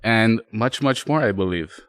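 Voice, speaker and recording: A man's voice: fairly low pitch, normal speed, a bit bright, no room sound, clean studio sound. A man hosting a podcast, opening the show with upbeat, hype-style introductions. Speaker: podcast host. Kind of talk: casual podcast chat.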